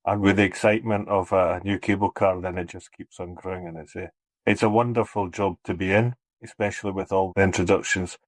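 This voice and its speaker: A man's voice: low-pitched, steady tone, fairly quick, no echo, low-quality recording. A middle-aged Scottish man, guest on a travel podcast, talking about his work, often repeating words as he restarts. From Scotland. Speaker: cableway marketing head. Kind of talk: casual interview talk.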